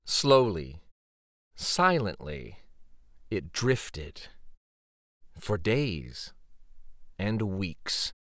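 A man reading a children's picture book aloud as dramatic, suspenseful narration, with theatrical pauses and emphasis. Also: low-pitched, big pitch swings, a bit bright, no echo, clean studio sound.

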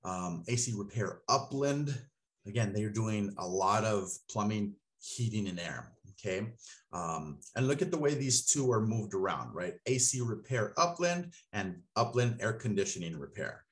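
Casual marketing coach in his 30s, lowish voice, chatty tutorial explainer at his desk. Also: sing-song, moderate pace. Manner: casual, coaching, frequent "okay?" and "right?". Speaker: marketing consultant for plumbers. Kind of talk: screen-share tutorial. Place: small room at a desk.

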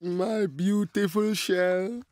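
An actor voicing a sociable, expressive tortoise in a children's cartoon, with a theatrical manner. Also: mid-range voice, very slow, no room sound. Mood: rueful. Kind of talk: children's animated cartoon voice acting.

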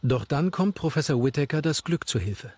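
A man's voice: a little high, lively intonation, normal speed, a little mellow, breathy edge, no room sound. A man reading scripted narration as the narrator of a true crime documentary.

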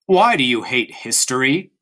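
A man's voice: medium pitch, very animated, normal speed, bright, forceful tone. A man voicing a stern military father who lectures his son at the table.

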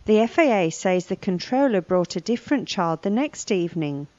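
A woman's voice: medium pitch, lively intonation, moderate pace, darkish tone, no echo, highs cut off. A woman giving scripted lesson narration, reading vocabulary and a news report. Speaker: language lesson presenter.

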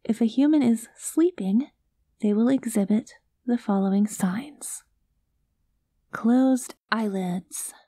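Soft, high-voiced earnest alien girl played by a woman. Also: slow, no echo, clean studio sound. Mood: careful reading aloud. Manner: earnest, flustered, talks to herself. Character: cute, earnest, excitable young alien girl on a rescue mission. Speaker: audio roleplay performer. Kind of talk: ASMR roleplay acting, soft-spoken.

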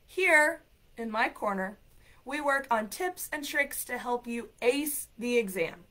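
Raspy woman with a cold, slightly high voice, animated livestream host, webcam in a home room. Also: slow. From United States. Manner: animated, chatty and playful. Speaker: ESL program coordinator and show host. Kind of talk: livestream talk and storytelling. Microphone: laptop webcam, video call framing.

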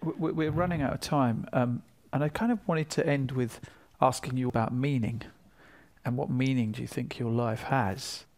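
A man hosting a radio interview, asking brisk, probing questions. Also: mid-range voice, fairly even intonation, darkish tone. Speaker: radio host.

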